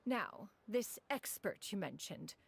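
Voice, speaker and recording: A woman's voice: mid-range voice, lively intonation, takes it slowly, creaky. An actress playing an elegant, proud female crane spirit in a video game, speaking in archaic, formal, grand phrases. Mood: composed.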